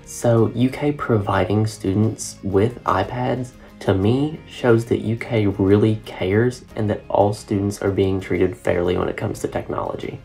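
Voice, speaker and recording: A young man, a college student from Kentucky, giving an earnest, measured testimonial. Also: lowish voice, gravelly, darkish tone, faint music behind. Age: young adult. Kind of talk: scripted testimonial.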